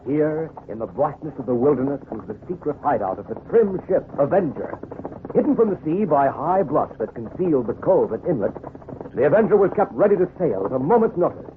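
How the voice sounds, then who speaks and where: Old time radio narrator guy, bit high and dramatic, tinny with clear hiss. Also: very animated, brisk.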